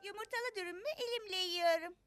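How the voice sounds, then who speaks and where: A very high, childlike cartoon girl. She sounds sure of herself and helpful, like an older playmate giving simple instructions, with music playing clearly behind her. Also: fast. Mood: friendly, explaining. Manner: childlike, matter-of-fact, instructive. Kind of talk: animated children's cartoon dub.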